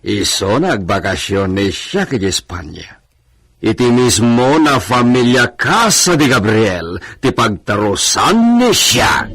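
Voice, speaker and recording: A man's voice: fairly low pitch, sing-song, slow, fairly bright tone, slightly rough, no room sound, exaggerated voice. A man playing a proud, lordly master of a villa in an audio drama, formal and stately in manner. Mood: proud, deliberate.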